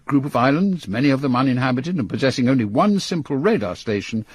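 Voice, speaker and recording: A man playing a brisk, authoritative older British intelligence chief in a radio drama, with an English accent and a clipped, commanding delivery. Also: medium pitch, expressive, no room sound, close to an everyday voice. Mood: commanding, intent.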